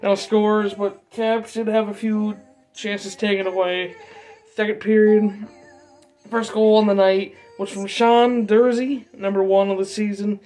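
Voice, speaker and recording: A young man talks casually like a sports fan recapping a game from his notes. His delivery is halting, and he trips over names and numbers. Faint music is present. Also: sits high, flat delivery, a little mellow, rough recording. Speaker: hockey fan vlogger. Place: dim bedroom, seated at desk.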